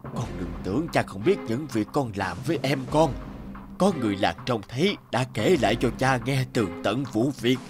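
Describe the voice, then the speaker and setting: A man voicing a wise, kindly but stern old father in a fairy-tale cartoon, slow and measured. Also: medium pitch, animated, close to an everyday voice. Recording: music behind the voice.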